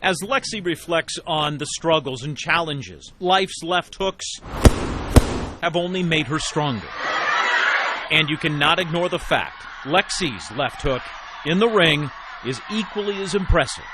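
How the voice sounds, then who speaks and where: A man's voice: on the high side, measured pace, very noisy. A man narrating a scripted television news feature as a reporter, with emphatic storytelling delivery in short phrases.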